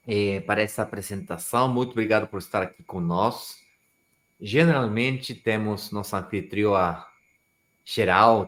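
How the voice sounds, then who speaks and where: A man's voice: on the low side, a bit bright. A man from Chile with a Chilean Spanish accent, whose first language is Spanish, hosting a live stream in a chatty, joking way and sometimes searching for words. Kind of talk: casual live stream talk.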